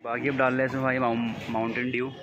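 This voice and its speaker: A man's voice: mid-range voice, level tone, fast, a crowd in the background, poor audio. A young man vlogging casually on a phone at a bike service shop, chatty and constantly addressing viewers as brother. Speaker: motovlogger. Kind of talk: casual vlog narration to camera. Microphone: phone camera.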